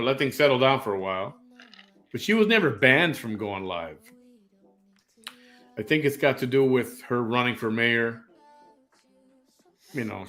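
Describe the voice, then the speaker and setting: A man's voice: medium pitch, a bit bright. Rambling opinionated man in his fifties. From Chicago. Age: 50s. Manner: rambling, opinionated, repeats himself, joking. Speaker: live-stream show host. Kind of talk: casual live-stream chat reading viewer comments.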